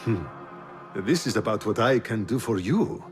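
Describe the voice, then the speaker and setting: An actor playing a sly, self-assured informant in a video game, with an insinuating, bargaining manner. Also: male voice, fairly low pitch, expressive, moderate pace, soft background music, natural voice. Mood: sly, wheedling. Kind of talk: video game cutscene acting.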